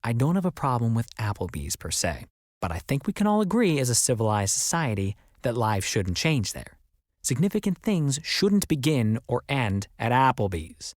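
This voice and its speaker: A man reading as an audiobook narrator, in an expressive scripted storytelling style. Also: medium pitch, moderate pace, dry recording, studio quality.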